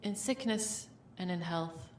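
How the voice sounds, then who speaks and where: Royal wedding officiant voiced by a woman, calm ceremonial phrasing, far from the mic with faint noise. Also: lowish voice, roomy sound, close to an everyday voice. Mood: solemn. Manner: formal, measured phrases. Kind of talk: audio roleplay drama acting.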